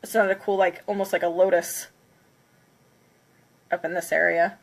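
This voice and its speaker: A woman's voice: fairly low pitch, little pitch movement, normal speed, vocal fry, poor audio. A congested woman chatting casually on a livestream, counting down before smashing plates. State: congested from a cold. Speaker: craft livestreamer.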